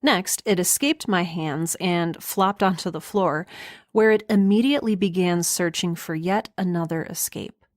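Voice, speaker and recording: A woman's voice: fairly low pitch, unhurried, dry recording, studio quality, lightly acted. A woman playing a wry, rambling young woman stranded in space, recording a hesitant, musing audio diary for an intimate audio drama. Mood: wistful.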